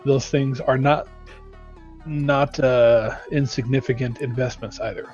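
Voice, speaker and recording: Man, mid-pitched, emphatic and informal, advising viewers on a live stream with faint music underneath. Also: fairly even intonation, a little slow, darkish tone, highs cut off. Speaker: game audio lead on a live stream.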